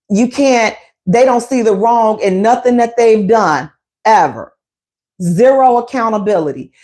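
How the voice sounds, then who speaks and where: A woman's voice: fairly high pitch, darkish tone. A woman, hosting a casual relationship advice talk, emphatic and conversational, repeating her points.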